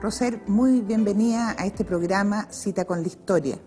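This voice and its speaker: A woman's voice: mid-range voice, moderate pace, warm tone, faint music behind. A woman hosting a television interview in an informal, conversational way.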